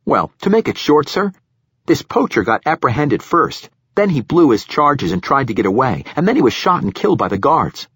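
A man voicing a nervous, eager young military lieutenant in an audiobook, deferential and halting. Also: mid-range voice, big pitch swings, fairly bright tone, husky, no room sound, voice pushed up, full character voice. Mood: eager, storytelling. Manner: deferential, halting, peppers speech with sir. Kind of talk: audiobook character dialogue.

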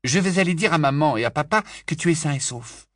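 A man voicing a practical young girl with a brisk manner in a storybook reading. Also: fairly high pitch, lively intonation, a bit bright, dry recording, studio quality, voice pushed up. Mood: steady.

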